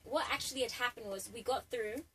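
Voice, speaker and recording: A woman's voice: a little high, brisk, a bit bright, a little hiss or hum, rough recording. A woman in her twenties from Nigeria, a singer guest chatting in a radio studio interview. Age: 20s. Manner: bubbly, chatty storyteller.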